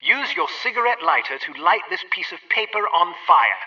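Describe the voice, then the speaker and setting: An actor playing a brisk gadget-lab instructor in a video game, giving precise, emphatic training directions. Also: male voice, high voice, sing-song, far from the mic, lo-fi sound. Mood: brisk, instructive.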